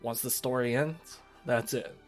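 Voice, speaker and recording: An aloof teenage schoolgirl read by a man in his mid-pitched voice narrates a visual novel over faint music. The delivery is measured and resigned, that of a fatalistic outsider telling a story. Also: lightly acted.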